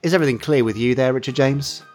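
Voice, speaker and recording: A man's voice: mid-range voice, fast, soft background music. A man hosting a podcast, telling trivia in a chatty, playful, bantering way. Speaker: podcast host. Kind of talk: chatty trivia segment, semi-scripted storytelling.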